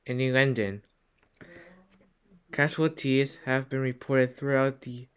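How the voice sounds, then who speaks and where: A man's voice: medium pitch, a bit bright, clear, resonant tone, close to an everyday voice. A man playing an official emergency alert announcer, reading a formal scripted warning. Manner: formal, listing.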